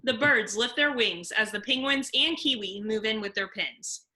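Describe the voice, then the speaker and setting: Bright-voiced woman narrating a script. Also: on the high side, normal speed. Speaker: narrator reading stage directions. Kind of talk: scripted narration at a table read.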